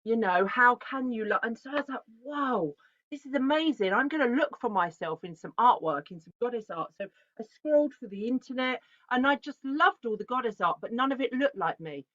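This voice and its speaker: A woman, giving a chatty, animated presentation that often breaks off and restarts itself. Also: a little high, a little fast, no room sound. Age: 40s. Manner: chatty, animated, self-interrupting. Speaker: artist giving a talk. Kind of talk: casual conference presentation. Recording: dull, lo-fi video call audio.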